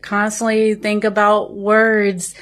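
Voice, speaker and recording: A woman's voice: mid-range voice, completely flat delivery, takes it slowly, a bit bright. Earnest woman with a Southern US accent. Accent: Southern American. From Southern United States. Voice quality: speech impediment, careful pronunciation. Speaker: social media video responder. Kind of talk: reflective personal talk to camera.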